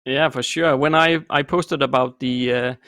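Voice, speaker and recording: A middle-aged man from Denmark co-hosting a podcast, telling stories in a relaxed way with many fillers and restarts. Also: medium pitch, moderate pace, no echo, clean studio sound. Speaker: podcast co-host. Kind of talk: casual chat.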